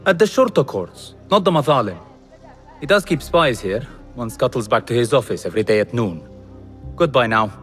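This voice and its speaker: A man's voice: medium pitch, expressive, moderate pace, fairly bright tone, natural voice. An actor playing a venal, self-important male court official in a game cutscene, haughty, clipped and grudging. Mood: grudging, brisk. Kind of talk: video game cutscene acting. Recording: long echoing hall, music behind.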